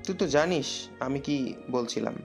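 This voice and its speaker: A man's voice: mid-range voice, moderate pace, gravelly, lightly acted. A male actor playing an easygoing young friend in an audio drama, casual and slangy, over music. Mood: pleading. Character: easygoing young man, pleading then breezy friend.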